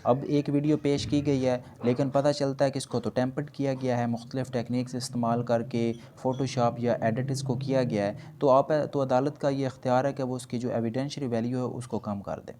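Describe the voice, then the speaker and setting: A man's voice: mid-range voice, level tone, normal speed, few pauses, dark tone. A man with a Pakistani accent giving an explanatory law lecture, reading points aloud carefully and explaining them conversationally. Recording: noticeable background noise.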